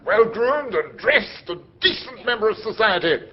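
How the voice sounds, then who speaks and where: A man's voice: high-pitched, very animated, moderate pace. An actor playing a pompous, blustering elderly male magistrate in a radio drama. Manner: pompous, blustering, self-satisfied. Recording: dull lo-fi audio.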